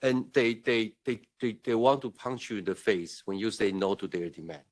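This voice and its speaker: Man, diplomat giving a conference speech, earnest and emphatic, fairly low voice, often restarting his sentences. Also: normal speed. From Taiwan. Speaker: diplomatic representative. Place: conference room at a parliament.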